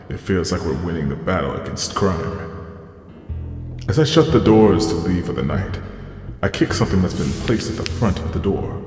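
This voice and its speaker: Low-voiced, dry, brooding noir police detective, understated and wry, in an echoing space. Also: male voice, a little mellow. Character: weary, wry male police detective, loving husband. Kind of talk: noir audio drama narration and dialogue. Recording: roomy echoing reverb with music underneath.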